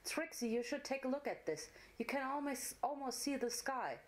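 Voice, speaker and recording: A woman's voice: sits high, animated, moderate pace, pitched above the performer's own voice. Storybook role: curious, earnest unicorn filly with an eager dreamer's temper, played by a woman. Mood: eager, awed.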